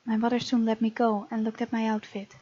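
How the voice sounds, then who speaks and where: A woman's voice: on the high side, little pitch movement, a little fast, warm tone, husky, dull audio. A woman hosting a gaming video, expressively reading game text aloud with casual, playful commentary.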